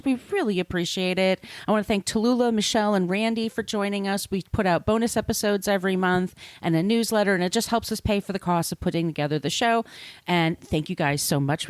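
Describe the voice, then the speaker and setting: A woman's voice: medium pitch, no echo. A woman co-hosting a podcast, chatting informally with a salty tongue. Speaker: podcast co-host. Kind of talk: casual podcast chat.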